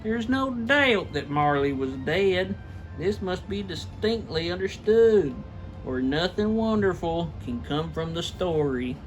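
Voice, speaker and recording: High-pitched man reading a storybook slowly. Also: expressive, faint music behind, rough recording. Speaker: reader, show host. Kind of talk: dramatic amateur storybook read-aloud with casual asides.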